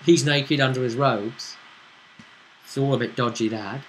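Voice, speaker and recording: A man chatting casually as game master, recapping a tabletop role-play session in a halting, storytelling way with pauses. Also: mid-range voice, steady tone, a little hiss or hum, low-quality recording.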